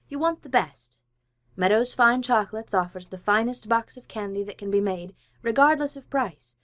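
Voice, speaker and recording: A woman's voice: medium pitch, no room sound. A woman announcer reading a scripted sponsor advertisement in the style of vintage radio.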